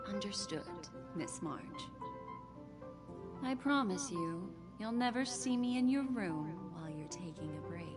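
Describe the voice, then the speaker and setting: Slow, languid, mysterious woman. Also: mid-range voice, expressive, music in the background. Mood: teasing. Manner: languid, teasing. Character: languid, teasing, mysterious woman, a memory keeper. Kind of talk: video game character acting.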